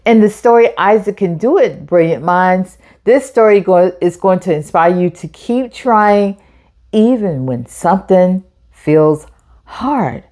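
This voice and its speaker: A woman hosts a read-aloud for children with a lively, swooping intonation. She takes her time, addressing young listeners directly in an encouraging way. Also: lowish voice, a little slow. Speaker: storytime host.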